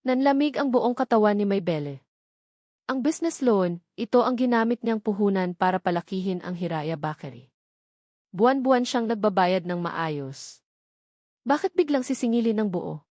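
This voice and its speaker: A woman reading a scripted, dramatic family love story as narrator, pausing between short phrases for effect. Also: mid-range voice, animated, a little mellow, dry recording, studio quality.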